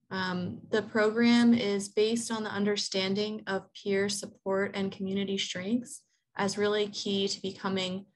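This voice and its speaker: A woman's voice: medium pitch, rough recording. A woman in her thirties moderating a panel, introducing the speakers in an organized way with frequent um's, over earphones on a video call. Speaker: program coordinator, moderator. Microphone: earphones with mic on a video call.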